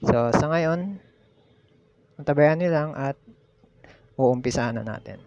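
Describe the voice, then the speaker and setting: A man's voice: medium pitch, a little slow, creaky, darkish tone, no echo. A Filipino man hosting a casual step-by-step demonstration, explaining in an instructional way and repeating key steps. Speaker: tutorial host. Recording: muffled lo-fi audio with background noise.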